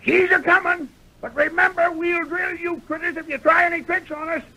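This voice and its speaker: An actor playing a gruff, feisty old prospector with a folksy American drawl in an old radio western. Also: male voice, fairly even intonation, moderate pace, sharp tone, full character voice, low-quality recording. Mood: shouting threats. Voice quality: creaky, cracked high voice. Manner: folksy frontier drawl, blustering. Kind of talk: 1930s-40s radio western acting. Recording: vintage radio recording.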